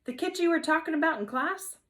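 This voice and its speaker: A woman's voice: sits high, a little fast, fairly bright tone, voice pushed up. Woman doing a brash, cocky schoolboy. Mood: curious. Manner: slangy, teasing. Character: brash, cocky new schoolboy in third grade.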